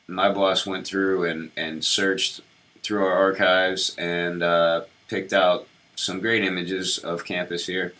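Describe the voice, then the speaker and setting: Brief: deep-voiced male live-coder, slightly hoarse from a cold, casual and halting. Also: a bit bright. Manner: halting, lots of ums and restarts while working. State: slightly sick, losing his voice. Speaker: web developer streaming his work. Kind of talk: casual live-coding walkthrough.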